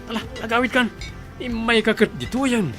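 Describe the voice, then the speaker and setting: An actress plays a sly confidante in an Ilocano village radio drama. The voice is mid-pitched and egging-on, with music clearly playing underneath. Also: female voice, steady tone, brisk, lightly acted. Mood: sly, approving. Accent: Filipino, Ilocano.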